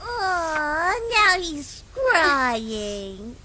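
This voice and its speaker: A woman voicing a mean young deer bully in an animated comic dub, taunting in a mocking sing-song way. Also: sits high, very slow, a little hiss or hum, heavily acted.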